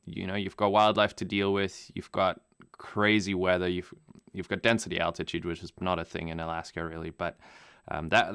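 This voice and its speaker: A young man who grew up in Namibia, native German speaker, answering interview questions in a relaxed, rambling way with many fillers and restarts. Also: deep voice, clear, resonant tone, no echo, clean studio sound. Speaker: guest pilot.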